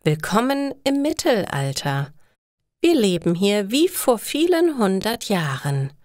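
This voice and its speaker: A woman's voice: mid-range voice, big pitch swings, a little slow, a little mellow, dry recording, studio quality. A woman voicing a welcoming, old-fashioned countrywoman in a storybook reading, proclaiming in short phrases.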